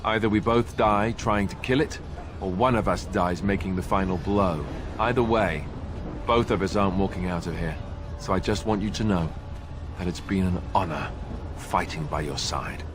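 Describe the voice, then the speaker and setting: Man playing a deep-voiced, sincere warrior. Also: moderate pace, close to an everyday voice. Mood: grave, resolute. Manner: sincere, plain-spoken. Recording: clear background ambience noise.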